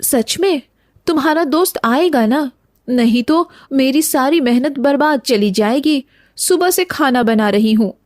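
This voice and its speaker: A woman's voice: sits high, lively intonation, unhurried, a little mellow, no echo, clean studio sound. A woman playing a dutiful, fretful wife of a village woodcutter in a cartoon story, polite and homely. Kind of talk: Hindi cartoon story dialogue.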